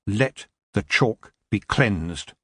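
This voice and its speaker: Young witch girl, quiet and solemn; male narrator, barely a change of voice. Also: fairly low pitch, expressive, extremely slow, no room sound, clean studio sound, voice pushed down, exaggerated voice. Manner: soft, solemn and earnest.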